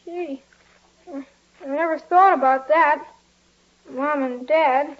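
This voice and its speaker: A scared young street boy with a very high voice. He stammers and pleads in tough kid slang. It is an old 1940s radio show with muffled sound. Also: takes it slowly. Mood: sheepish. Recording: dull 1940s radio recording.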